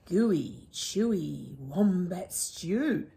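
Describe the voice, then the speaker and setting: A woman's voice: on the low side, animated, extremely slow, creaky, dark tone, full character voice. A woman voicing a sly, boastful male dingo in a storybook, gloating and sometimes chanting in a sing-song way.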